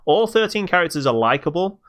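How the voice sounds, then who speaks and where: A man co-hosting a podcast, casually reviewing a video game in a halting but emphatic way. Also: a little high, sing-song, fairly bright tone. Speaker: podcast co-host.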